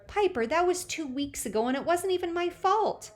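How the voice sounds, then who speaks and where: A woman's voice: extremely high pitch, animated, normal speed, a little mellow, voice pushed up. A woman voicing an awkward, well-meaning young teenage boy, earnest and a little flustered.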